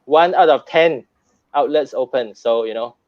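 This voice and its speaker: A man's voice: medium pitch, normal speed, no echo. A man hosting a panel discussion, moderating with frequent restarts and repeated words. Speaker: host. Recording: dull lo-fi sound.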